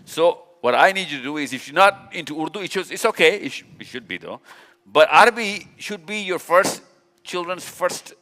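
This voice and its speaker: Bright-voiced man in a reverberant hall. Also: fairly high pitch, lively intonation, moderate pace. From Pakistan. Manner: direct and teasing, repeats "yeah" often. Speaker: speaker. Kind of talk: live talk with audience interaction. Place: large event hall. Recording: long hall reverb at a live event.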